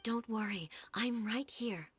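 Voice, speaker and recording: A woman playing a composed, regal shogun deity in a video game, speaking in a measured, formal, reassuring way. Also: fairly high pitch, breathy edge, exaggerated voice. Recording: music behind the voice.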